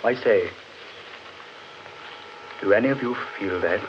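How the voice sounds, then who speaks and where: A slow-speaking, apprehensive man in a vintage radio chiller. He is dramatic and deliberate, sensing evil, on a muffled old recording. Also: on the low side, background noise. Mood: uneasy. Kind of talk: old time radio horror acting.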